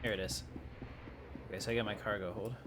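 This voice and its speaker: A man's voice: fairly low pitch, fairly even intonation, fast talker, over music. A man giving casual, easygoing live gameplay commentary, thinking aloud as he plays. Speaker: gaming streamer.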